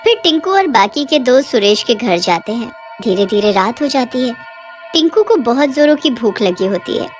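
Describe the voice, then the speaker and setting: A woman's voice: on the high side, big pitch swings, normal speed, soft background music. A woman narrating a scripted cartoon horror bedtime story.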